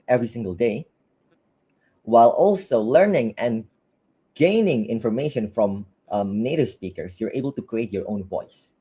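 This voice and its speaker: A man's voice: medium pitch, mellow, breathy edge. A man with a mixed Malaysian and Western English accent, hosting an online talk and giving casual advice in a chatty way, with many fillers and restarts, speaking over a video call. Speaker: host of an English talk webinar.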